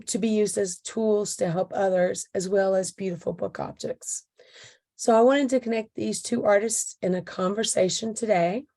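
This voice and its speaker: A woman hosting a casual video interview, her voice a little hoarse as it recovers. She talks in a halting, friendly way, with frequent ums and restarts between her thoughts. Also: medium pitch, darkish tone. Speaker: interviewer, photo book consultant.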